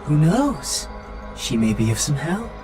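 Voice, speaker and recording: Smug all-knowing game AI, played by a male reader: calm taunts, very animated pitch swings, music behind. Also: a little slow. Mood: smug, cryptic. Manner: smug, cryptic, teasing. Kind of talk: visual novel character voice read by player.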